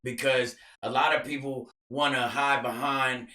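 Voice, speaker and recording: A man with a loud, raspy voice, a podcast guest talking bluntly, getting hyped and repeating himself in casual chat. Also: mid-range voice, level tone, a little slow, reverberant. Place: small studio room.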